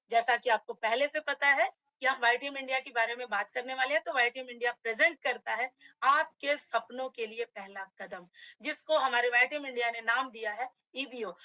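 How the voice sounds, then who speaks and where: Woman, middle-aged, from Uttar Pradesh; motivational webinar trainer, slightly hoarse, high voice, dry close sound. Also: bright, forceful tone, dry recording, low-quality recording. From Uttar Pradesh, India. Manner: addresses audience as friends, motivational. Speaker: direct selling trainer. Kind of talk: online webinar presentation.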